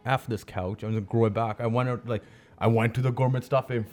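A man's voice: mid-range voice, fairly even intonation, fast talker, a little mellow, faint music behind. A man with a Canadian accent telling personal stories in casual, slangy conversation as an interview guest. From Brampton, Canada. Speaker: comedian and chef, interview guest. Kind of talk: casual chat, personal storytelling.

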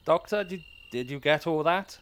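An actor playing a pompous small-town mayor who leads a secret lodge, theatrical and commanding but prone to fussiness, in comedy-horror audio drama. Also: male voice, a little high, moderate pace, fairly bright tone, slight background noise, natural voice. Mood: flustered, fussy. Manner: theatrical, commanding, then awkwardly fussy.

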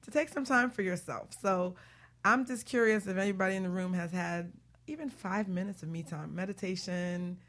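A woman hosting a radio talk show in a casual, chatty, informal way with animated banter. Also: mid-range voice, normal speed.

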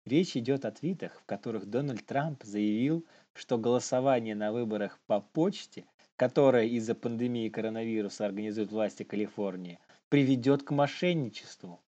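A man's voice: mid-range voice, moderate pace, dark tone, highs cut off. A young man co-hosting a casual news chat in an informal, slangy manner, often chuckling as he talks. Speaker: podcast co-host.

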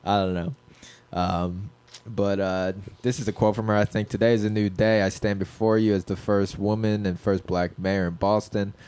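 A man with a lowish voice chatting loosely on a podcast. He rambles through stories with plenty of "uh" and "like" and swears without a second thought. He comes from Pennsylvania. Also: fairly even intonation, normal speed, a little mellow, clean tone. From Pennsylvania, Poconos area. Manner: rambling, swears freely, many fillers. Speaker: podcast co-host. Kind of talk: casual podcast chat.

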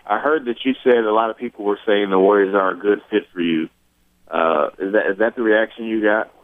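A male reporter puts casual questions to a player during a phone press call. His voice is fairly low and comes through a dry, phone-like line. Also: brisk, dry recording.

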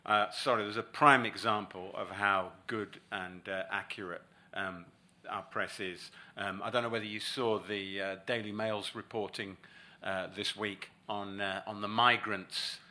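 A man hosting a panel discussion, talking in halting starts with sarcastic mock outrage. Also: on the low side, fairly even intonation, sharp tone.

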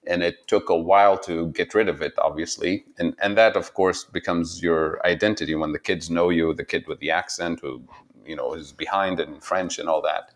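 A man from Tunisia, telling personal stories in an interview, reflective and halting, often laughing softly. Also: deep voice, steady tone.

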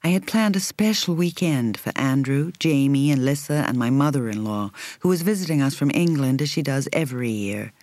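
Measured woman audiobook narrator, very dry sound. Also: a bass voice, lively intonation, darkish tone, no echo. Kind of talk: scripted literary narration, first-person novel reading.

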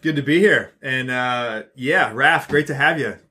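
A man's voice: mid-range voice, measured pace, pushed and bright. A man co-hosting a church podcast, talking in a rambling, encouraging way with frequent fillers. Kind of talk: casual chat.

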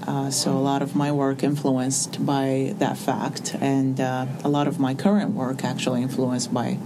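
A woman, speaking as an artist in an interview and pausing often with fillers. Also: rumbling low, level tone, background noise. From Iraq. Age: 40s. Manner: hesitant, with many pauses and fillers. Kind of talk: reflective interview answers. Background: soft music underneath.

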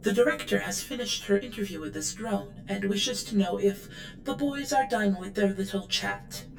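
A woman playing an obedient, guarded android servant in an audio drama, speaking in a formal, measured and deferential way. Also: medium pitch, vocal fry, loud music behind the voice, close to an everyday voice, low-quality recording. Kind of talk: scripted audio drama acting.

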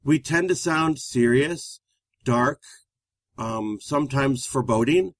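A man with a loud voice who talks fast, co-hosting a live-streamed relationship talk show. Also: medium pitch, no room sound, studio quality. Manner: fast-talking, energetic.

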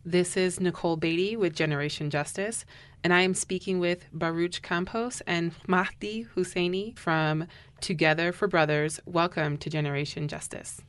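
A woman's voice: fairly low pitch, fairly even intonation, normal speed, clear, resonant tone. A woman interviewing guests on a community radio show with polite, brief prompts.

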